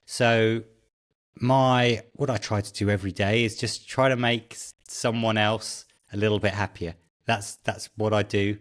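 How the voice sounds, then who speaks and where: A middle-aged British man speaks as an interview guest. His voice is fairly low, and he talks in a casual, anecdotal way. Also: steady tone, a little slow. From Britain. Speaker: podcast guest, tech founder.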